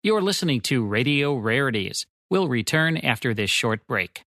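A man's voice: mid-range voice, lively intonation, normal speed, pushed and bright, dry recording, clean studio sound. A man hosting a radio show, reading a scripted promotional announcement. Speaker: radio show and podcast host. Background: faint music.

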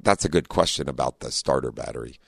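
Deep-voiced chatty radio host man. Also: fast talker, vocal fry, fairly bright tone, husky, dry recording, studio quality. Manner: friendly, chatty, many fillers. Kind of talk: casual talk radio hosting. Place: radio studio. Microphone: studio microphone.

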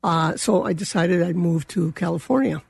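An older woman with a Colombian accent, speaking as a guest. Her voice is gravelly and a little husky, and she wanders through her story with plenty of fillers. The recording is close and dry. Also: lowish voice, normal speed, a little mellow, no room sound. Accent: Colombian Spanish. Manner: rambling, many fillers and restarts. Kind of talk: casual interview.

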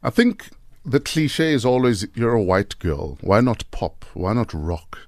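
A man's voice: lowish voice, unhurried, dry recording, clean studio sound. A man hosting a morning radio show, interviewing a guest with a playful, teasing manner. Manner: playful, teasing, lively delivery. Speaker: radio host. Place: radio studio. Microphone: studio mic.

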